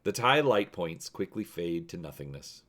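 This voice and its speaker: A male host with a low-pitched voice narrates an adventure story. His delivery is that of a dramatic read-aloud from a storybook. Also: very animated, moderate pace, a bit bright.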